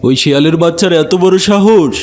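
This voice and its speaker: A man's voice: fairly high pitch, moderate pace, darkish tone, natural voice. A man voicing a blustering big cat in a children's animal cartoon, talking in a colloquial way. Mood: indignant, commanding. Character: big cats: bossy lion king, grumbling tiger.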